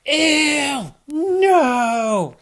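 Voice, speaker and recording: A woman's voice: mid-range voice, very animated, measured pace, pitched above the performer's own voice. A woman voicing a fussy, easily upset girl who whines and huffs. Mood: huffy. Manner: whiny, huffy.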